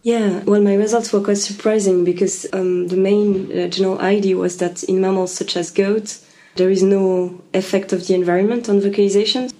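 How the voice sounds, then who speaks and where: Female scientist guest; mellow, even-toned, hesitant phrasing. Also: medium pitch, moderate pace. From Switzerland. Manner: thoughtful, hesitant, many ums and uhs. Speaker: biologist guest. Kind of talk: interview answers.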